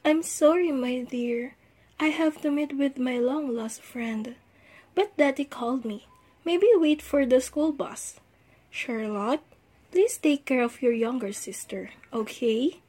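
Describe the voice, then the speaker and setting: A woman playing an affectionate, gentle young mother and wife in an amateur radio drama. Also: high voice, slow pace. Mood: caring.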